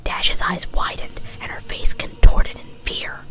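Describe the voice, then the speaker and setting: A woman's voice: a little slow, pushed and bright, soft background music, poor audio. A woman narrating a story as a whispered ASMR reading.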